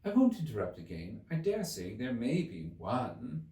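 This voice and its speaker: A man's voice: medium pitch, wildly swooping pitch, moderate pace, warm tone, reverberant, recorded from a distance. A man voicing a curious young girl in a storybook, polite but easily offended and puzzled. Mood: humble. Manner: questioning, indignant.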